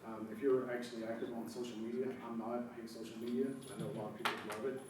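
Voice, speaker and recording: A man with a hoarse voice from a cold, giving a casual, rambling talk as a company founder, full of fillers and self-corrections. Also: mid-range voice, little pitch movement, quick pace. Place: large echoey lecture room. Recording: echoey hall, speaker far from mic, background noise.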